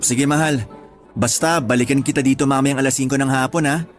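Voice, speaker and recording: A man acts as an easygoing, affectionate partner in a radio drama. His delivery is casual and teasing, at an average pitch, with faint music underneath. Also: fairly even intonation, a little fast, lightly acted. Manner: casual, bantering. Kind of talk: Tagalog radio drama acting.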